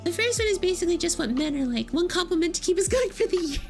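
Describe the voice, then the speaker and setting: A VTuber woman with a very high voice chats casually with her viewers, playful and giggly as she teases them. Faint background music plays behind her. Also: lively intonation, quick pace. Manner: playful and giggly, teasing chat. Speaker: VTuber streamer. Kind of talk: casual livestream chat, answering would-you-rather questions.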